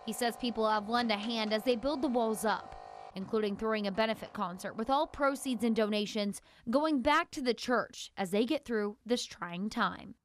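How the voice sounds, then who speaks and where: A young woman delivers a news story in a measured, articulate reporter's cadence. Her voice sits a little high. A faint background noise runs under the studio recording. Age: young adult.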